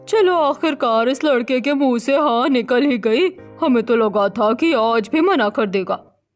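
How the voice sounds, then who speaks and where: An actor, a woman, playing an eager middle-aged mother in an animated family story. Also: fairly high pitch, measured pace, soft background music, close to an everyday voice. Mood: relieved. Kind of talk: Hindi animated story character voice.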